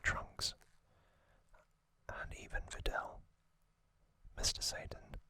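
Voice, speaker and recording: A man speaking entirely in a breathy, unvoiced whisper, telling a story in halting short phrases as an ASMR host. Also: pushed and bright, dry recording.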